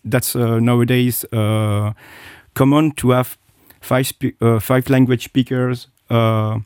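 Man, middle-aged, hesitant guest, warm voice. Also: medium pitch, steady tone, slow pace, smooth, no echo, clean studio sound. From South Korea. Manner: hesitant, many fillers and restarts. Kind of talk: interview, personal account.

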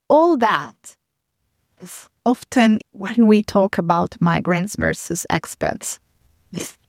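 A woman's voice: fairly low pitch, dry recording, clean studio sound. A woman guest explaining her migrant support service in a reflective interview. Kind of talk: thoughtful interview talk.

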